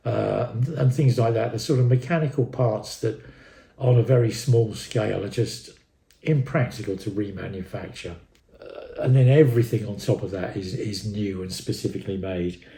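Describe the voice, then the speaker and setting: A man's voice: lowish voice, creaky, mellow. A British man presenting an informal, unscripted equipment review, talking in a rambling, hesitant way as an enthusiast. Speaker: presenter of an audio enthusiast vlog. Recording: roomy, echoing sound.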